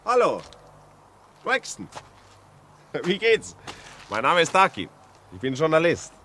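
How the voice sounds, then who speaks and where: An actor playing a polite, inquisitive journalist in a 1950s radio drama, asking courteous, probing questions. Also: male voice, on the high side, huge pitch swings, takes it slowly, pushed and bright, heavily acted. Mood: affable. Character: polite, inquisitive male journalist, affable visitor. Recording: noisy old 1950s radio recording.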